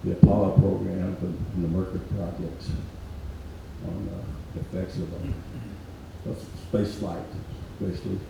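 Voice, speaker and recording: Older man in his eighties, hoarse, low-pitched, halting delivery, heard from a distance in an echoey hall. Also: little pitch movement, heavy background noise. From Mississippi Delta, USA. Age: 80s. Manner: halting, many pauses and filler ums. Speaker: guest speaker. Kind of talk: informal speech at a reunion event.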